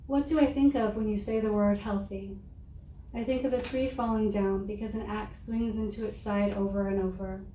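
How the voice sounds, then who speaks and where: Soft-spoken woman reading poetry in a roomy hall. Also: medium pitch, fairly even intonation. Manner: soft-spoken, measured and deliberate. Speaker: poet reading her work. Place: room with an audience. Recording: roomy, distant mic with faint noise.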